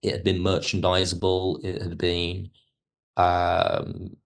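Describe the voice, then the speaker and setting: A man speaking as an expert guest in an interview, explaining things in a measured, digressive way. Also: low voice, completely flat delivery, vocal fry. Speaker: podcast guest, television history expert. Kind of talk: conversational interview commentary.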